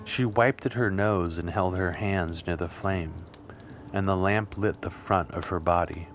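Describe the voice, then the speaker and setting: A man reading scripted literary fiction as an audiobook narrator, with measured, deliberate phrasing. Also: sits low, a little hiss or hum. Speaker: audiobook reader. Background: music.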